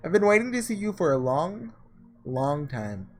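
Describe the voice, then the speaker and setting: A man's voice: on the high side, big pitch swings, moderate pace, soft background music. Man voicing a polite, mysterious girl, lilting. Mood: calm, coaxing. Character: mysterious, graceful, politely teasing purple-haired girl.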